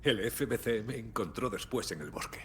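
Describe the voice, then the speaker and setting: A man's voice: animated, normal speed, lightly acted. An actor playing a wry, world-weary, blunt and stoic lawman in dramatic video game dialogue. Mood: grave. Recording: faint background rumble and noise.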